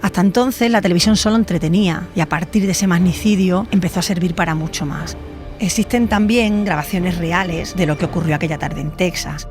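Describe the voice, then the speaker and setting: A woman's voice: lowish voice, fairly quick, a little mellow, soft background music. A woman narrating a scripted true crime documentary as a podcast host.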